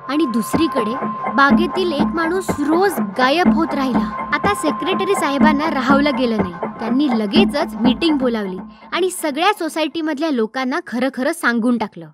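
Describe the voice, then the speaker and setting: A woman's voice: high voice, animated, normal speed. A woman narrating a scripted horror story for children. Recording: loud music behind the voice. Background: loud music.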